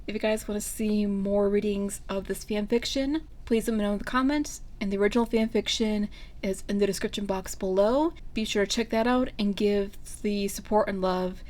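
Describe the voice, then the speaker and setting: A woman's voice: medium pitch, a little mellow. A woman hosting a fan fiction channel, reading out a story as its narrator and talking to listeners. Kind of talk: scripted story narration, casual sign-off.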